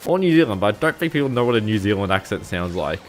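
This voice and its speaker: A man's voice: on the low side, very animated, fast talker, faint music behind. Chatty young Australian man streaming. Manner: rambling, chuckles often, lots of fillers. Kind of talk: casual chat with livestream viewers.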